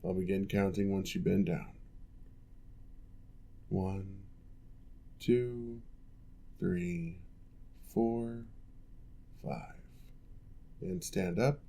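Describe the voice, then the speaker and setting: A man voicing a former orc general who now works as a patient physical therapist, giving calm, measured instructions in an ASMR roleplay. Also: low-pitched, creaky, full character voice. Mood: reassuring.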